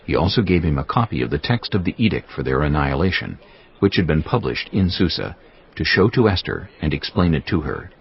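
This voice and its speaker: Very deep, gravelly male scripture narrator. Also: very animated, brisk, muffled recording.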